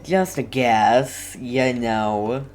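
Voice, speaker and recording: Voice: medium pitch, extremely slow, darkish tone. A game streamer giving casual, chatty livestream commentary with light teasing.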